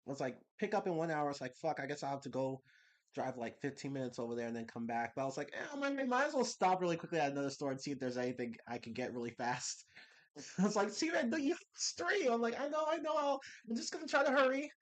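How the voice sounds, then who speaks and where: A man's voice: fairly high pitch, animated. A young man chatting casually on a live stream, rambling with restarts, slightly congested from a cold. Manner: rambling, restarts and laughs, quick bursts.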